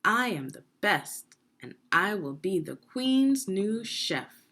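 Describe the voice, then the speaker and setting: A woman's voice: medium pitch, big pitch swings, takes it slowly, sharp tone, voice pushed up, full character voice. A woman reading the part of a boastful, confident chef in a children's storybook, with emphatic delivery. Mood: proud, boastful.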